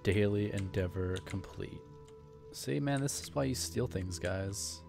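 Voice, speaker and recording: A man with a fairly low voice comments casually on a game he is playing. He is offhand, joking and slangy. Game music is clearly heard behind him. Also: a little slow. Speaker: gaming video host. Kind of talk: casual let's play commentary. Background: game music, keyboard clicks.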